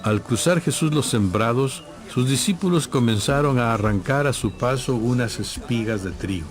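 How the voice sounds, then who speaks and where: A man's voice: medium pitch, moderate pace. Solemn male Bible narrator over music. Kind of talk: scripted narration of dramatized audio Bible.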